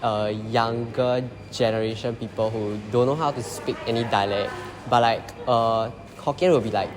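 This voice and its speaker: A man's voice: mid-range voice, fairly even intonation, moderate pace. A young Singaporean man, a design student, answering interview questions casually with lots of fillers. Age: young adult. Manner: rambling, many fillers. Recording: echoey hall with crowd behind.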